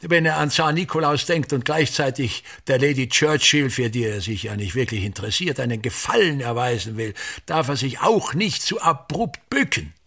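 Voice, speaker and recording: Pompous, gravelly old theatre tyrant. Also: male voice, mid-range voice, expressive, moderate pace, few pauses, fairly bright tone. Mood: critical, pedantic. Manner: declamatory, pompous, ranting in fits and starts. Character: obsessive, domineering old theatre director, lecturing his son. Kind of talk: radio play acting.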